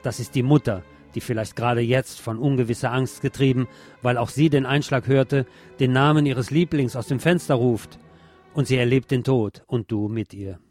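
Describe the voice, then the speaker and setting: A man giving a measured, solemn scripted reading of literary prose as narrator, articulating clearly. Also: medium pitch, steady tone, a little mellow, faint music behind. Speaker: narrator and reader.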